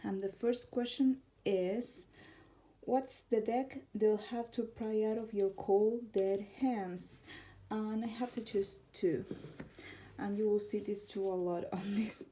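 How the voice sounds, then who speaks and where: A woman's voice: mid-range voice, fairly even intonation, slow pace, mellow, poor audio. A woman from Argentina with an Argentine Spanish accent, chatting casually about her tarot decks and laughing often. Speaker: tarot vlogger. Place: home room, neighbor's car heard outside.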